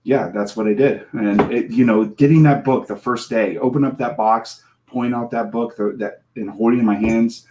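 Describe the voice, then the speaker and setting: A man in his forties tells a long story in casual podcast talk. He rambles, restarts, laughs at himself and acts out what others said. His voice sits at an ordinary middle pitch. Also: steady tone, normal speed, hardly any pauses, darkish tone, slight background noise. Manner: rambling, self-deprecating storyteller who laughs often and acts out quotes. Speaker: comic book creator guest.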